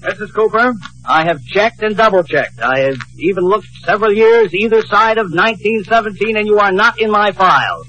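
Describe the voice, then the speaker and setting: An American actor playing a fussy, proud middle-aged records clerk in an old radio sitcom, speaking in a prim, officious way. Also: male voice, sits high, lively intonation, fairly quick, few pauses, bright, forceful tone, rough recording. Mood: indignant. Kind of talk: 1940s-50s radio sitcom acting. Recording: old radio recording, faint studio audience.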